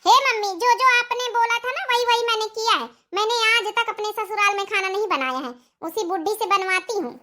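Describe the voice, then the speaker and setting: Cartoon role: woman performer as haughty young bride, extremely high, bright, piercing voice, snappy and defiant. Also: brisk, hardly any pauses, a little hiss or hum. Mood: smug. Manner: shrill, snappy, haughty back-talk. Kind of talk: Hindi dialogue in comedy cartoon.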